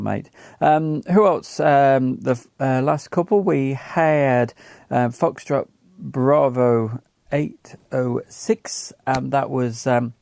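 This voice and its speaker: English male radio hobbyist host, mid-pitched with vocal fry, informal, often pausing to find his words. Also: darkish tone, dry recording, studio quality. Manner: halting, searching for words while reading notes. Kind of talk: casual rundown of radio call-ins.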